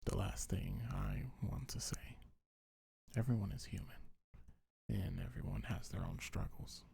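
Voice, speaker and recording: A man speaking in a deep, breathy, husky voice, half-whispering gentle, reassuring affirmations in a soothing ASMR style. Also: wildly swooping pitch, a little slow. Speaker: ASMR creator reading a comfort script.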